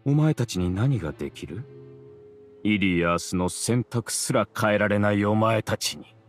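An actor playing an arrogant mastermind villain in a video game, speaking with haughty, theatrical contempt. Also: male voice, lowish voice, animated, measured pace, faint music behind. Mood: scornful. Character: arrogant villainous male mastermind ruling a hive mind. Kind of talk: Japanese video game voice acting.

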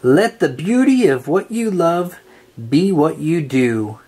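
This is someone reading a picture book aloud for children. The voice is husky and moves up and down in a lively, sing-song way. The delivery is slow, with phrases stretched out for young listeners. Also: medium pitch. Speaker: storybook reader.

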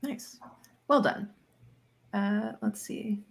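A woman's voice: medium pitch, drawn-out words, vocal fry, slightly rough. An informal woman moderating a livestream panel over video call, peppering her talk with filler words. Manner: informal, says "like" often. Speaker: host. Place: indoor room, video call.

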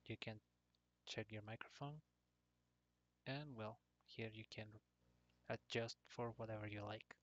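A man presents an informal software tutorial. He speaks slowly and haltingly, breaking his thoughts into short phrases with little change in pitch. The recording is dull and lo-fi. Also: fairly even intonation, no echo. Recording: dull lo-fi computer microphone.

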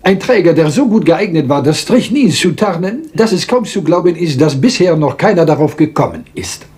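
An actor playing a small, self-assured Belgian detective with a French accent, theatrical and politely lecturing in a radio crime drama. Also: male voice, on the high side, lively intonation, a little mellow, lightly acted. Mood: teasing, lecturing. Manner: theatrical, deliberate, polite, lecturing, teasing rhetorical questions.

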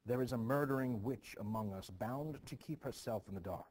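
A man's voice: mid-range voice, brisk, a little mellow, a little hiss or hum, close to an everyday voice. A man reading the part of a grieving, superstitious village mother in a stage play, ominous and intense, in archaic phrasing. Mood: insistent.

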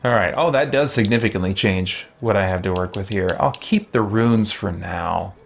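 A man in his thirties giving casual, unhurried game commentary, musing aloud and often hesitating. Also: fairly low pitch, creaky, a little hiss or hum. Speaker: streamer playing a role-playing game. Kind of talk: casual let's play commentary. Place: small indoor room with shelves, at a desk.

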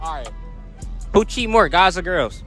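A man's voice: sits high, lively intonation, unhurried, a bit bright. A young man hosting casual public interviews in a playful, slangy way, talking into a handheld mic. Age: young adult. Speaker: host interviewing people in public. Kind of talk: casual street interview banter. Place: busy shopping mall and outdoor park. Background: music.

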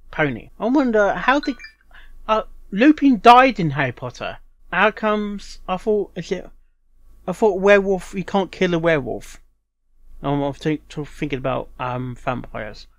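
A man with a slightly high voice gives casual, rambling commentary as a reaction host. He speaks haltingly, often repeating and restarting phrases. The sound is very dry, with no room echo.

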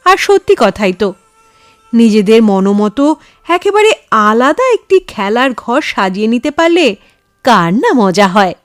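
A woman reading a story aloud as narrator, in a measured, expressive storytelling manner. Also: on the high side, no room sound, clean studio sound, faint music behind. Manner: measured, dramatic storytelling delivery. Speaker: storyteller, channel host.

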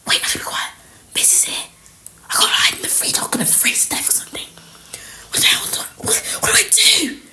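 A woman's voice: mid-range voice, brisk, a bit bright, natural voice. A woman voicing a furtive schoolgirl in hiding, rambling under her breath in a school story. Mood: panicky, hushed. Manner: whispering, rambling.

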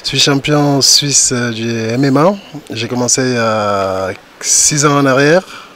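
Man from cameroon, mma fighter, calm short answers, bit of room hum. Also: mid-range voice, measured pace. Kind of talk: group interview.

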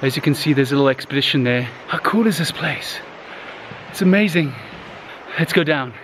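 A man in his thirties, vlogging with excitement while talking to a handheld camera in the jungle and inside a huge cave. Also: fairly high pitch, a little fast, a little hiss or hum. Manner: enthusiastic, excited, talks straight to handheld camera. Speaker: travel vlogger. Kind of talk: casual travel vlog. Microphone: handheld camera at arm's length.

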